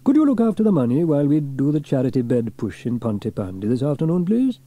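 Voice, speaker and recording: A man's voice: medium pitch, very animated, fast talker, warm tone, voice pushed up. A man voicing a cheerful, reassuring fireman hero in a children's storybook. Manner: cheerful, dependable. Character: hearty, reassuring adult male fireman hero.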